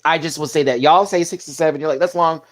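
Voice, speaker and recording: A man's voice: on the high side, steady tone, fast talker. Opinionated man hosting a casual panel. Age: 30s. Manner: opinionated, playful pop-culture banter. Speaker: show host.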